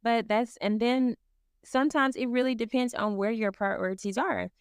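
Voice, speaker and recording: A woman's voice: on the high side, steady tone, normal speed, clean tone, dry recording, studio quality. A woman co-hosting a podcast, speaking in an encouraging, reflective way in casual chat. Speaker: podcast co-host.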